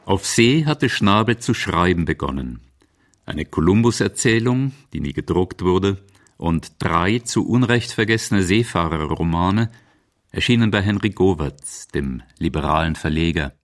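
A man with a very low voice narrates in a scripted documentary style. The delivery is that of a radio feature narrator, measured and composed. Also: animated.

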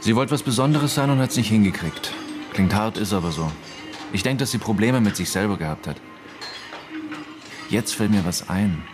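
A man's voice: lowish voice, lively intonation, normal speed, pauses often, close to an everyday voice. A man playing a blunt, offhand witness being questioned in a naturalistic radio drama. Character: blunt male former acquaintance of a dead girl, witness. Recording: music behind the dialogue.

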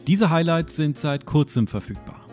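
A man's voice: on the high side, expressive, moderate pace, over music. A man delivering a short scripted promo announcement as a jingle announcer.

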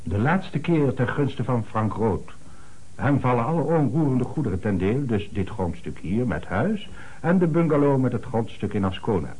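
An actor playing an earnest, formal middle-aged notary in a radio play, speaking in a measured way with dramatic emphasis. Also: male voice, medium pitch, big pitch swings, a little mellow, slightly rough, low-quality recording. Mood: explanatory.

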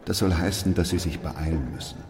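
An actor playing a weary, sober doctor and narrator in a German radio play, speaking in a measured, restrained way. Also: male voice, sits low, a little mellow, a little hiss or hum. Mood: quiet, resigned. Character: weary, sober male doctor who narrates a plague chronicle.

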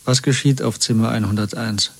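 An actor playing a weary, subdued political prisoner in a radio play, speaking tersely in detached, clipped fragments. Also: male voice, on the low side, fairly even intonation, brisk, creaky, heavy background noise. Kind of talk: radio play acting, inner monologue and dialogue.

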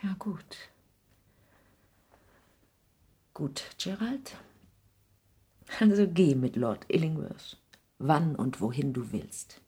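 An actress playing a grave, wounded, protective middle-aged mother, halting and solemn, in a 1960s German radio drama. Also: sits low, lively intonation, mellow, husky, full character voice. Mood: resigned.

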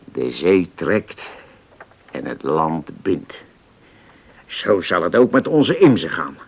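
An actor playing a genial old former sailor in a radio play, musing, teasing and repeating himself. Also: male voice, deep voice, big pitch swings, slow pace, creaky, husky, dull audio, exaggerated voice. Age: older.

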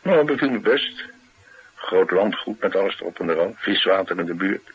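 Low, husky, cynical ex-bodyguard. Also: male voice, expressive, moderate pace, muffled recording, full character voice. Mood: dry, guarded. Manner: dry, sardonic, reticent. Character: cynical former uniformed man, troubled ex-bodyguard with head wound. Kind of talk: Dutch radio drama acting.

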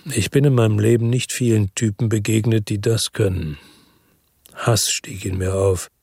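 A man's voice: fairly low pitch, fairly even intonation, vocal fry, a little mellow, breathy edge, dry recording, clean studio sound. An actor playing a brooding investigator who narrates his story in a radio drama. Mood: somber. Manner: slow, somber narration. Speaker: first-person narrator.

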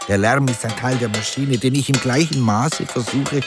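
An actor plays a male artist declaiming his creed in a radio drama. The voice is of middle pitch. Music sounds clearly behind him. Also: normal speed, close to an everyday voice. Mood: declarative. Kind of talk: recited manifesto in radio drama.

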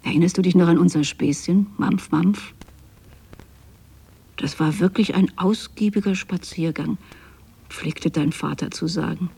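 Husky, pleading, lonely mother. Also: fairly low pitch, measured pace, pauses often, dark tone, exaggerated voice. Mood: tender, wistful. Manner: tender, pleading, plaintive. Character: lonely, possessive, doting widowed mother pining for her absent son. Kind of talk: intimate radio drama monologue, spoken as a letter.

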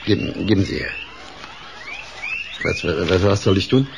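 A nervous, down-at-heel scientist from a radio play. The voice is low and gravelly, hesitant and stammering, wary and grasping, with clear noise around it. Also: male voice, a little slow. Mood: grasping, jittery. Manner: stammering, repeats first words, hesitant. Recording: noisy scene ambience behind.

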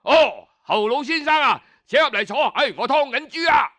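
Man playing burly male butcher, folk tale, Cantonese accent, hearty, blunt, high bright voice. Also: expressive, moderate pace, full character voice. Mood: hearty, busy.

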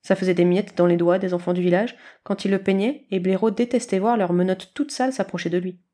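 A woman reading a scripted story aloud as an expressive narrator. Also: mid-range voice, a little fast. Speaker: podfic reader.

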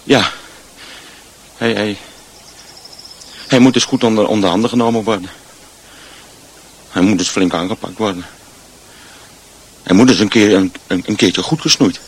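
An actor playing a nervous, tongue-tied householder in a radio drama, stammering and halting as he speaks. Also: on the low side, husky. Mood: flustered, agitated.

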